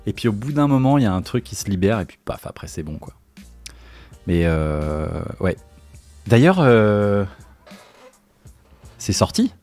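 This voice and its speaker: A man chatting casually on a livestream into a streaming microphone, telling stories in an animated, informal, slangy way. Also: on the low side, measured pace, pauses often, soft background music. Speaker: music producer and Twitch streamer.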